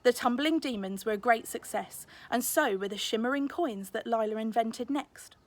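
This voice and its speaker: A British woman, a teacher, reading a children's story aloud in expressive narration. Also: on the high side, moderate pace.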